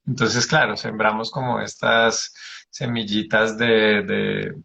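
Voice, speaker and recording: A man's voice: on the low side, flat delivery, slow pace, vocal fry, bright, forceful tone, lo-fi sound. A man hosting a conversational interview, engaged with his guest and putting questions to them. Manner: engaged, often affirming the guest. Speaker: interview host.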